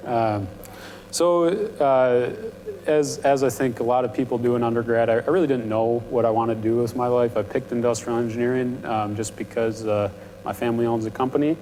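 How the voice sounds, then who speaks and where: A young man with a low, mumbly voice, talking casually and in a friendly way to students as a panel speaker. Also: steady tone, fairly quick, warm tone. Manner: casual and friendly, many ums. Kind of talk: presentation to students. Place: lecture hall. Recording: reverberant lecture hall.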